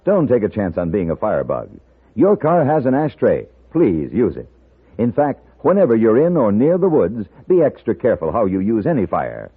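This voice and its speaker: A husky, low male announcer on a narrow-band old radio recording. His delivery is polished and emphatic in the 1950s manner, instructing the listener with lively rises and falls. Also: normal speed.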